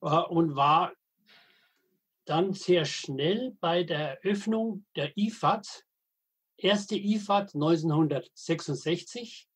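A man, telling anecdotes as an interview guest with halting, hesitant phrasing and dry humor. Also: on the high side, husky. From Middle Franconia, Germany. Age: 80s. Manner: halting, frequent hesitations and restarts. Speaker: guest, veteran wastewater engineer and editor. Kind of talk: podcast interview, storytelling.

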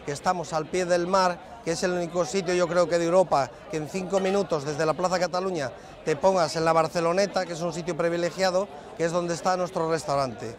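High-voiced middle-aged restaurant manager. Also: male voice, fairly even intonation, a little fast, a crowd in the background. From Zamora, Spain. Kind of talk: guided tour talk. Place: busy restaurant terrace under a tent at night. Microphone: lapel mic. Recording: background music under the voice.

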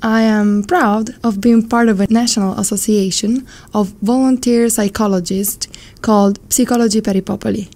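A woman with a northern Italian accent, a volunteer psychologist answering interview questions in a measured, earnest way, Italian being her first language. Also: mid-range voice, warm tone. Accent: Italian, from around Turin. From northern Italy. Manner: measured, earnest, careful non-native phrasing.

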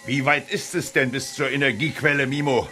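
An actor playing an impatient, grumbling general in a children's sci-fi radio drama, with an emphatic, commanding delivery. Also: male voice, mid-range voice, brisk, bright, forceful tone, faint music behind, natural voice. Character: impatient, blustery male general, bold and grumbling.

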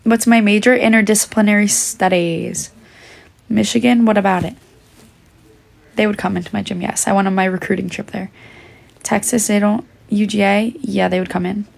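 A woman's voice: medium pitch, steady tone, normal speed, creaky. A young woman chatting on a phone livestream, answering fan questions while she is losing her voice. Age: young adult. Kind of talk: casual livestream chat. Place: indoors, small room. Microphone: phone held at arm's length.

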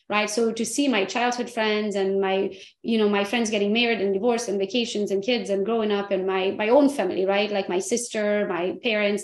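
A woman in her forties with a Romanian accent, a guest telling her story on a video call in a lively way. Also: medium pitch, roomy sound. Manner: lively storyteller, laughs often. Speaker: guest, real estate agent. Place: home office with pink neon.

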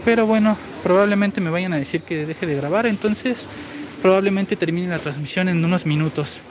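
A man in his forties with a high, girlish-sounding voice, chatting through a live store walkthrough and reading viewer comments. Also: moderate pace. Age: 40s. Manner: chatty, explains deals while walking. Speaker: shopping deals streamer. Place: large indoor supermarket. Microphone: phone held while walking. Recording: noisy store ambience.

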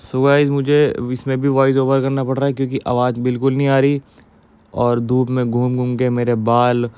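A young man recording a voice-over for his vlog, sounding drained and exhausted, talking in a slow, tired way. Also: medium pitch, level tone, a little mellow, smooth, soft background music. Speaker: vlogger. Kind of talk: voice-over narration. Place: riding in an auto rickshaw.

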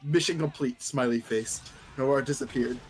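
A man's voice: mid-range voice, normal speed, low-quality recording. A man streaming a casual, stumbling read-aloud of fan fiction, breaking off to comment. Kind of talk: casual fanfic reading with commentary.

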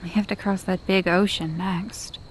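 An actress playing a gentle, wistful young traveller in an audio drama, hesitant and soft-hearted. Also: female voice, on the low side, fairly even intonation, moderate pace, a little hiss or hum, natural voice.

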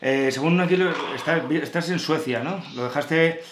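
A man's voice: on the high side, moderate pace. A man in his thirties with a Spain accent, bantering casually as host of a prank show. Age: 30s. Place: small home office, indoors. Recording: echoey room, faint background noise.

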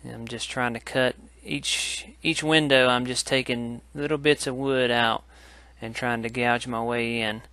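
A man hosts a casual how-to tutorial, explaining in an instructional way. His voice sits at an ordinary male pitch with a bright, forward edge. The sound is dry, with very little room in it. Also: fairly even intonation, unhurried, no echo. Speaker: woodcarving tutorial host.

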